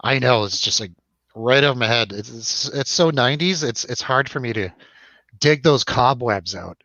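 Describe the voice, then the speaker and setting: A man's voice: mid-range voice, moderate pace, pushed and bright, no room sound, dull audio. A man hosting a casual film review chat, rambling and jokey, putting questions to his co-host. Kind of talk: casual chatty film discussion over drinks.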